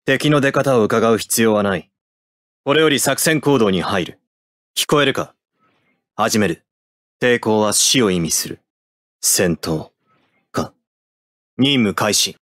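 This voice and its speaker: A man's voice: lowish voice, expressive, slow pace, pauses often, a bit bright, no room sound, clean studio sound. A man playing a cold, stoic young mecha pilot in an anime video game, speaking in terse, clipped military phrases. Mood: cold, controlled. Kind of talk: anime game battle voice lines.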